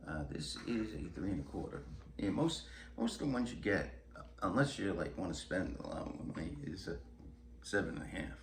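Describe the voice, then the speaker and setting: A man's voice: low voice, expressive, fairly quick. An older man chatting casually as he shows off a music collection, rambling and blunt in a chuckly way. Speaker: host of a music collecting vlog. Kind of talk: casual show-and-tell chat. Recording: roomy echo with clear background noise.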